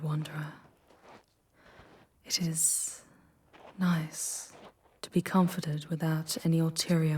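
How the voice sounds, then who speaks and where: A woman performs an ancient demoness for an ASMR roleplay, proud yet wounded. Her voice is low, breathy and husky, often sinking toward a whisper. She speaks very slowly, with formal, solemn deliberation as a guide through the underworld. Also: darkish tone. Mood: tender.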